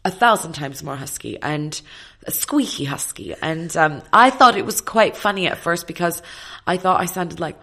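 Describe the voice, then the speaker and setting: A woman in her thirties, an opera singer, talking as an interview guest. Her voice is slightly husky today, and she tells stories in a reflective, lively way with plenty of fillers. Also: lowish voice, moderate pace, few pauses. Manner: reflective, animated storytelling with many fillers. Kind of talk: casual interview chat.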